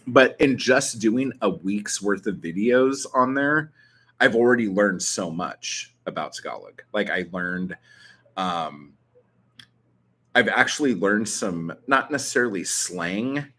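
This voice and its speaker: Slow, low-voiced Southern Californian man. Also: expressive, pushed and bright. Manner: rambling with many ums and likes, swears casually. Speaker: channel host answering viewer questions. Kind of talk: casual vlog chat.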